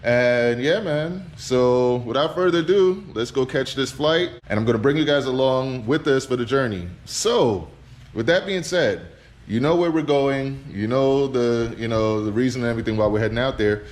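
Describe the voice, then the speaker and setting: Relaxed Trinidad-born man vlogging. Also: mid-range voice, normal speed. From Trinidad, Caribbean. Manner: relaxed, chatty, talks straight to the camera. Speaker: car vlogger. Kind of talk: casual travel vlog.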